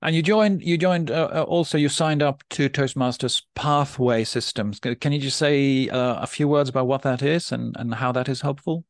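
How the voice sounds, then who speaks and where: A man from Norway hosting a podcast interview, asking questions in a measured, polite way with frequent restarts. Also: medium pitch, no room sound. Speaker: podcast host and debate coach.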